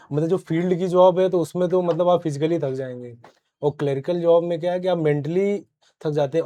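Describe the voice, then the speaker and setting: A young man answers interview questions casually. His voice is mellow and relaxed, pitched a little high, and he explains things in a plain-spoken way. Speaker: interview guest, successful exam candidate.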